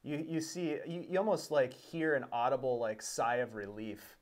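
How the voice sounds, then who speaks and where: A man's voice: mid-range voice, expressive, normal speed. A man narrating as game master, talking loosely with many fillers and the odd curse. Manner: loose, hesitant with fillers, swears casually. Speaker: dungeon master. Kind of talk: narrating and voicing a tabletop game.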